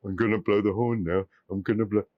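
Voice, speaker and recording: A man's voice: on the low side, steady tone, quick pace, no echo, dull audio, voice pushed down, lightly acted. Man doing a giggling mischievous shepherd boy. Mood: gleeful. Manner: giggling, gleeful. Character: mischievous boy shepherd who laughs at everyone.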